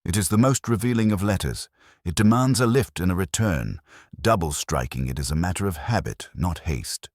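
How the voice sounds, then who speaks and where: A man's voice: low-pitched, expressive, moderate pace, dry recording, studio quality, pitched above the performer's own voice. A man voicing a stern, ponderous older master detective in an audiobook mystery, with formal, precise diction. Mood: pronouncing, certain. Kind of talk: audiobook mystery narration dialogue.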